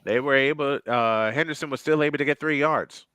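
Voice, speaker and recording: A man doing live play-by-play commentary on a football game, surging in pitch and volume on big plays and often repeating phrases. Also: brisk, sharp tone, clean tone, dry recording. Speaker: play-by-play announcer.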